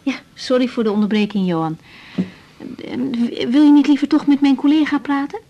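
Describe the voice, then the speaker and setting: An actress playing a kind young doctor in a naturalistic radio drama, informal, tentative and reassuring. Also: female voice, high-pitched, normal speed, dull audio, noisy background. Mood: tactful. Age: young adult.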